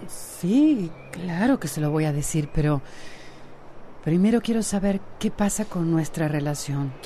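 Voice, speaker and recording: A woman's voice: sits low, animated, measured pace, mellow, slight background noise. An actress playing a wry, dreamy female friend with a Costa Rican accent in a radio soap opera. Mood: hesitant, earnest. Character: wry, dreamy, secretly pregnant woman friend and confidante.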